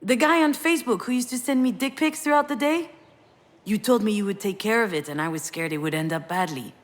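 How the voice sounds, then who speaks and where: A woman's voice: mid-range voice, fairly quick, close to an everyday voice. An actress playing a vulnerable, wry, grieving woman writer in a narrative video game, reflective and confiding in a naturalistic style. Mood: amused.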